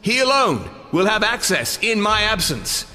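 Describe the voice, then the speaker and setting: An actor playing a haughty, contemptuous governor in a video game, with pompous, commanding oratory. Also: male voice, high-pitched, big pitch swings, a little slow, sharp tone, full character voice. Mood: imperious. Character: haughty, contemptuous male governor with supremacist zeal. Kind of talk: video game character acting. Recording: long hall-like reverb.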